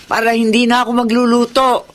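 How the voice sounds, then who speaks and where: A woman's voice: a little high, completely flat delivery, brisk, close to an everyday voice. An actress playing a proud, devoted elderly grandmother in a homely, conversational radio drama style. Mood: proud, chatty. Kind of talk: Filipino radio drama acting.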